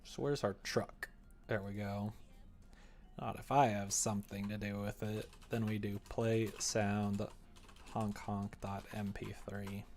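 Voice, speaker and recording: A young adult man with a fairly low, gravelly voice chats casually on a livestream as he works at his computer. He meanders and mutters to himself, thinking out loud, with some music playing faintly behind him. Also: little pitch movement, takes it slowly. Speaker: livestreamer developing a visual novel. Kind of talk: casual livestream chat while coding, thinking aloud. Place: indoor room at a computer.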